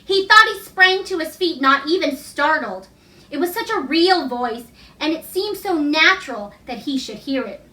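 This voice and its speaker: A woman's voice: high-pitched, lively intonation, moderate pace, pushed and bright. A woman reading a novel aloud as storybook narration. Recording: echoey room, distant mic.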